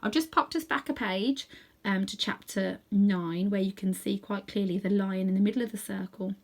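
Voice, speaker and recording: A woman's voice: medium pitch, moderate pace. A woman reading a storybook aloud, narrating expressively with casual asides to the listener. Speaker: storybook reader.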